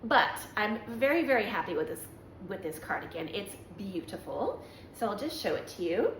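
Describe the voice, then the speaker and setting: A woman from Canada hosting a knitting podcast, chatting casually and rambling with lots of ums and self-corrections, her voice slightly raspy from allergies. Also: mid-range voice, big pitch swings, moderate pace, reverberant, a little hiss or hum, low-quality recording. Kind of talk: casual show-and-tell chat.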